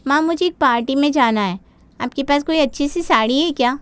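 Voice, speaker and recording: A woman puts on a grabby young sister-in-law for a cartoon family tale. Her voice is high, gushing and wheedling, full of spoiled entitlement. It is recorded very dry. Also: brisk, no room sound, lightly acted.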